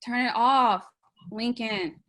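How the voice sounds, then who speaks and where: A sulky young woman purring from the pillow, as a woman reads her lines. She is soft and a little whiny. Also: fairly high pitch, slow pace, rough recording. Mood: whiny teasing.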